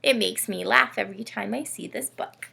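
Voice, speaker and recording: A woman hosting storytime for children in an animated, teacherly way, often putting questions to her young listeners. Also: mid-range voice, moderate pace, creaky, background noise. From Canada. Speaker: children's read-aloud host.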